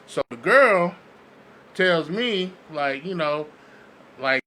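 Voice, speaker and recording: Bright, high-voiced American man chatting. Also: expressive, slow. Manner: slangy, conversational, often checks the listener follows. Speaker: podcast co-host. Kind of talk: casual podcast chat and storytelling. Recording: clearly noisy background.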